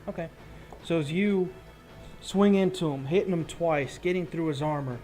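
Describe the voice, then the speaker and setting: A man's voice: fairly high pitch, moderate pace, warm tone, soft background music. A man acting as game master, narrating a tabletop roleplaying fight in vivid, gory detail and breaking off for rules talk.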